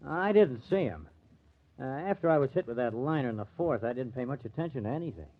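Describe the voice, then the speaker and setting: An actor playing a defensive, slangy ballplayer in an old-time radio crime drama. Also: male voice, mid-range voice, animated, fast talker, natural voice. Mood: speculating. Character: defensive, slangy male ballplayer, a team shortstop. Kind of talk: 1940s radio acting. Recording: tinny, narrow-band old radio recording.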